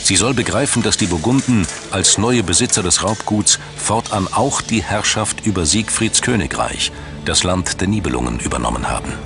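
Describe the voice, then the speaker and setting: Male narrator, very low voice, measured and solemn scripted documentary read over prominent music. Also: lively intonation. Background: background music.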